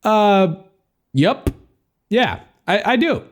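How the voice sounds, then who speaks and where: A man's voice: high-pitched, very animated, extremely slow, pitched above the performer's own voice, exaggerated voice. A man voicing a brash, foul-mouthed hero in an audiobook, blunt, sarcastic and insistent. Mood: pleading, stubborn. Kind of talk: audiobook character dialogue.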